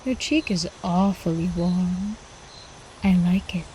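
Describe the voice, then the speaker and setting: A woman voicing an ancient, seductive vampire countess in an intimate ASMR roleplay, teasing and cooing with quiet menace, often slipping into whispers. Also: fairly low pitch, takes it slowly, slight background noise, low-quality recording. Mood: seductive, soothing.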